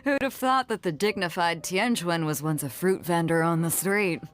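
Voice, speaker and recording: A woman's voice: on the low side, moderate pace, close to an everyday voice. An actress playing a bold, breezy woman sea captain in a video game, frank and swaggering. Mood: amused. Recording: music behind the voice. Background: background music.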